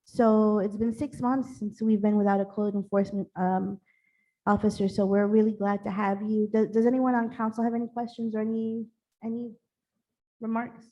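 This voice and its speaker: A woman serving as mayor, chairing a town council meeting in a brisk, informal way and interpreting into Spanish herself. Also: medium pitch, flat delivery, warm tone, muffled recording.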